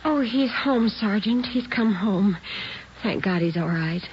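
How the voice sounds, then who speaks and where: A woman playing a fretful, halting American mother of a young boy in a 1940s radio drama. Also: medium pitch, animated, close to an everyday voice. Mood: shaken relief. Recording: muffled, hissy 1940s radio recording.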